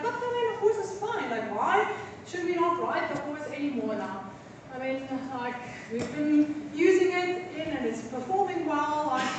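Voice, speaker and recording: A woman's voice: sits high, noisy background. A woman with a German accent giving a university veterinary lecture, explaining step by step with many ums and likes. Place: large lecture hall. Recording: echoey hall, voice from far off.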